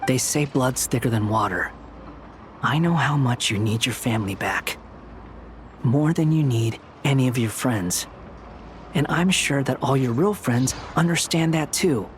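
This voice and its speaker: A man's voice: mid-range voice, unhurried, a little hiss or hum, natural voice. Game wanderer played by a man: young, sardonic, bluntly wise, medium register, faint ambience behind. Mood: earnest. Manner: blunt, dry, reflective. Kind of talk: dramatic video game dialogue.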